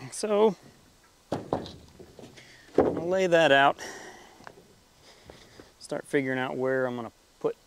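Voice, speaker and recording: A man's voice: on the high side, expressive, creaky, a little hiss or hum. Young man thinking aloud outdoors. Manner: thinking aloud, plain and unhurried. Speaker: DIY farm channel host. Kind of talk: casual building tutorial narration. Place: outdoors on a grassy farmyard.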